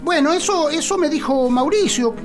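Very high, muddled Argentine politician. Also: animated, moderate pace, over music. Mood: insistent, pleading. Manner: muddled, repetitive, stammering. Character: dim, pleading, muddled politician nicknamed Colo, from La Pampa. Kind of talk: political satire radio sketch.

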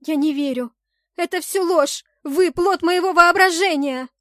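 A passionate young woman in a fantasy audiobook, acted by a woman narrator. She pleads with raw emotion, her voice pitched up and forceful. The sound is close and dry. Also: unhurried, no room sound. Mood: disbelieving anguish. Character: distraught, passionate young woman, nearly eighteen, in love. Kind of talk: dramatic fantasy audiobook acting.

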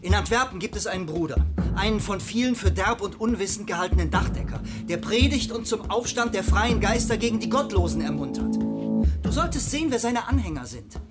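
An actor as a worldly, persuasive rebel bookseller in a historical radio play. The voice explains and urges in turn, with loud plucked-string music running behind it. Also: mid-range voice, expressive, brisk, natural voice. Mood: persuasive, keen. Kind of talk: historical audio drama dialogue.

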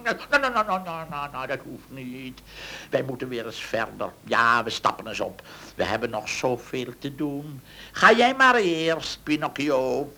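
A man playing a sly, flattering fox swindler in a children's audio play, oily, coaxing and theatrical. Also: mid-range voice, a little slow, heavily acted. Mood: sly, hurried farewell.

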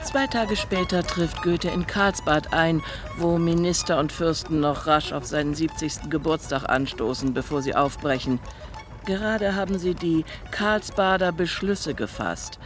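A woman's voice: sits low, measured pace, music in the background. A woman playing a composed storyteller, narrating a literary radio play from a script. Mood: sober narrating.

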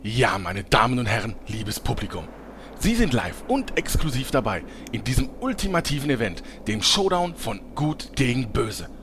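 A man's voice: mid-range voice, big pitch swings, normal speed, over music, natural voice. A man playing a slick, scheming showman with a glib, smug delivery in a comedic audio drama. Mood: grandiose announcing. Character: slick, scheming male showman hosting reality TV.

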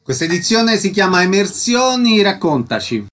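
A man's voice: sits high, very animated, normal speed, fairly bright tone, a little hiss or hum. A man hosting a radio interview, introducing guests and putting short questions to them.

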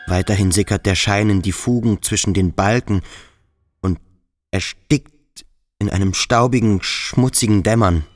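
A man giving scripted radio play narration in terse fragments with pauses between them. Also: lowish voice, soft background music. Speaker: second narrator.

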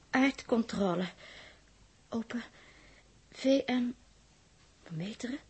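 A fretful young girl on a spaceship, speaking slowly in a high voice with a Netherlands Dutch accent. She is tender and emotive, halting and muttering to herself in the manner of a 1960s radio play. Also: slightly rough, full character voice. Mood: slow, puzzled reading.

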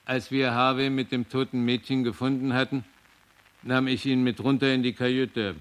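A sober seaman witness played by a man reports in a radio drama. The voice is smooth, resonant and of middle pitch. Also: flat delivery, moderate pace, close to an everyday voice. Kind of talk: radio play testimony.

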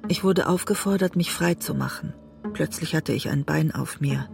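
A weary, wounded writer in a radio drama. The voice sits fairly high for a man and has a gravelly creak. The delivery is introspective and restrained, with music in the production. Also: normal speed. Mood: reflective, melancholy. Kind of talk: literary radio drama, introspective narration and dialogue.

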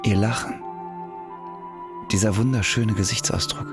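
An actor playing a brooding prisoner's subdued, introspective inner voice in a German radio play. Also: male voice, fairly low pitch, fairly even intonation, a little slow. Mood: wistful longing. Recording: music behind the voice.

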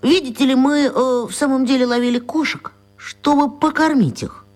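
A woman's voice: high-pitched, steady tone, normal speed, a little mellow, natural voice. A woman voicing a plain-spoken, cheeky and slightly awkward young schoolboy in a children's radio play. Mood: cool, mocking.

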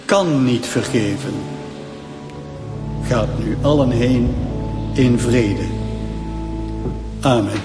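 A man playing a solemn, measured churchman at prayer in a radio drama. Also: mid-range voice. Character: solemn male churchman praying. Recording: roomy echo under loud music.

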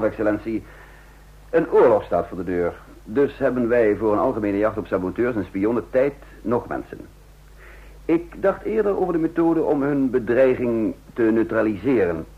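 An actor playing a shrewd, calculating undercover intelligence officer in a radio drama, speaking in a measured, formal, husky voice. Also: male voice, on the low side. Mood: urgent, persuasive. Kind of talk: radio drama acting and narration. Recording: dull lo-fi old radio recording.

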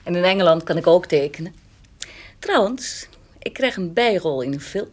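An actress playing a calm, frank, independent woman in a radio drama, plain-spoken and gently teasing. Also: lowish voice, moderate pace. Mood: matter-of-fact, teasing.

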